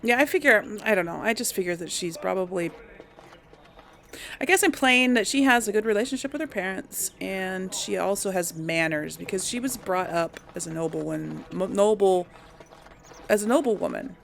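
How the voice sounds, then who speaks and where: Woman, gaming commentary, creaky voice, lively pitch swings, casual, hesitant. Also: normal speed. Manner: hesitant, thinking aloud. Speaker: gaming video host and player. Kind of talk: casual let's play commentary, role-playing dialogue.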